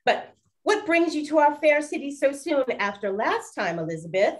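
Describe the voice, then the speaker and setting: A woman's voice: high voice, very animated, normal speed. A woman playing a chatty, wistful lady detective of the 1920s in a radio-style play. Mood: surprised.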